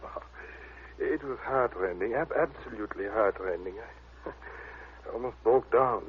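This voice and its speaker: A man's voice: fairly low pitch, measured pace, dull audio, natural voice. A male actor playing an unctuous, phony doctor in an old-time radio drama, fussy and overpolite, with stammers and nervous chuckles. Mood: oily, nervous. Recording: noisy, dulled old radio recording.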